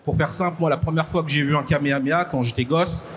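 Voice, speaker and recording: A man from Congo, a guest on a live podcast panel, explaining his ideas in a halting way and repeating words as he thinks. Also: on the high side. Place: convention stage before a live audience. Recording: noisy live event ambience.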